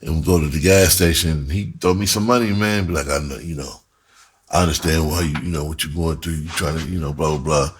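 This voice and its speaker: A man telling a personal story in a casual, animated way, with slangy, profane talk and phrases repeated for emphasis. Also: very deep voice, fast talker, slightly rough. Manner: breaks into laughter often while talking. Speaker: screenwriter and performer telling his own story.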